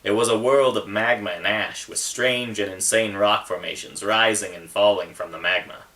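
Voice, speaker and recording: A man's voice: fairly low pitch, steady tone, normal speed, sharp tone, distant mic, rough recording. A man who is an author, talking about and reading aloud from his own book. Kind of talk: casual book intro, then narrated reading.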